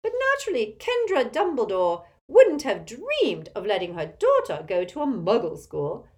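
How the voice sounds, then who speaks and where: A woman's voice: a little high, huge pitch swings, moderate pace, a little mellow, voice pushed up. A woman voicing a haughty, spiteful, tipsy old witch aunt in a storybook, cackling and screechy, with an emphatic gossipy manner. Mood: haughty, relishing.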